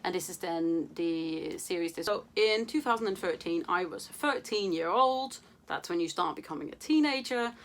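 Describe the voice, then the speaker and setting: A woman's voice: on the low side, lively intonation, normal speed, gravelly, few pauses. A young woman from Denmark, chatting to camera about books in a playful, casual way, with many fillers and casual swearing. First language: Danish. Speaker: book vlogger.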